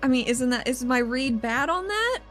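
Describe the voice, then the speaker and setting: A woman's voice: high-pitched, expressive, normal speed, a bit bright, faint music behind. A woman chatting casually and improvising as a player in a tabletop game, in an informal manner. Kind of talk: casual game chat and improvised role-play.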